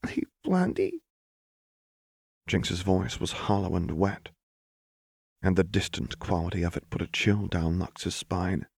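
Low, husky male audiobook narrator. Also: flat delivery, measured pace, dry recording, clean studio sound. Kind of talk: scripted fiction narration.

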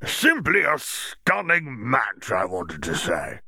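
A man's voice: medium pitch, huge pitch swings, slow pace, creaky, bright, forceful tone, breathy edge, no echo, studio quality, pitched above the performer's own voice, heavily acted. A man voicing an effusive, blustering male sports official in an audiobook story. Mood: gushing.

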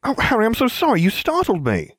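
A shrill, bookish teenage girl snaps back in exasperation, as a man reads her part. Her pitch climbs high and leaps around, and the voice sits close and dry. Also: huge pitch swings, fast, fairly bright tone, no room sound, full character voice. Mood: flustered. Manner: snappish, exasperated.

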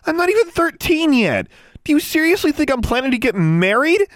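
An audiobook character: a defiant twelve-year-old girl, acted out by a man, pitched far above normal speech. She is indignant and quick-tempered, snapping her retorts with wildly swinging intonation in a dry recording. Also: very animated, no echo. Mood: outraged.

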